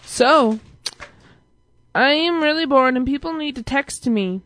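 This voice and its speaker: Giggly high-voiced young woman chatting. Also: animated, unhurried, a bit bright. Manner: giggly, silly, jumps between stories. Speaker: host of an online talk stream. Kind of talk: rambling casual chat.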